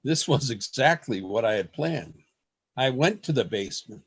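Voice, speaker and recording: A man's voice: mid-range voice, animated, normal speed, a bit bright, husky. A man acting an earnest, lovestruck and fearful poet in a radio play, with formal, theatrical phrasing, recorded over a video call. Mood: confiding, anxious. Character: earnest, lovestruck, fearful male poet keeping a secret journal. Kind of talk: radio play acting, journal narration and dialogue.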